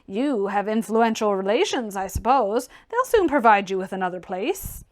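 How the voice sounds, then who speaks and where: A woman voicing a blunt, fiery, mocking gentleman reformer in a forceful, declamatory audiobook reading. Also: medium pitch, lively intonation, moderate pace, natural voice. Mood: coolly probing.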